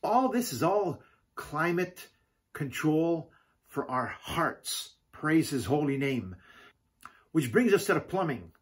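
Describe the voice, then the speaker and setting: A middle-aged man preaching a video sermon at home, animated, gesturing and calling out hallelujah often. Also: fairly high pitch, takes it slowly, breathy edge. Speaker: pastor. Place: home living room, indoors.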